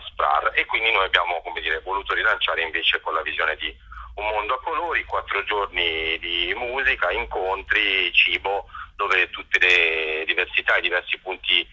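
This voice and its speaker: A man's voice: low-pitched, pushed and bright, husky, highs cut off. A man presenting an event as an interview guest, often drawing out words as he searches for the next one. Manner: halting, searching for words with drawn-out words. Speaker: festival organizer and interview guest.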